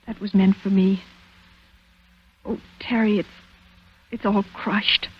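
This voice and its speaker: A woman's voice: medium pitch, little pitch movement, takes it slowly, slightly rough. An actress playing a frightened young woman in a breathless, pleading manner in a 1940s radio thriller. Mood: shaken relief. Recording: muffled old radio broadcast recording.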